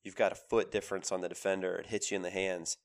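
A man in his thirties with a cold, co-hosting a casual sports podcast, talking in an animated, emphatic way. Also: low-pitched, fast talker. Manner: animated, emphatic with repeated phrases. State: has a cold, voice lower than usual. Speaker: podcast co-host.

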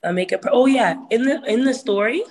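A woman's voice: on the high side, brisk, darkish tone. A woman musician giving casual advice about promoting music, chatty and full of fillers like "you know".

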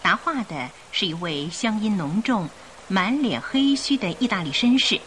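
A woman's voice: medium pitch, lively intonation, moderate pace, fairly bright tone, a little hiss or hum. A woman narrating a scripted radio drama as the storyteller. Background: music.

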